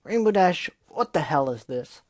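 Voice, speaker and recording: A man voicing an angry young female griffin who shouts and accuses, loud and blunt in every line. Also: mid-range voice, animated, normal speed, no room sound, clean studio sound. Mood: furious.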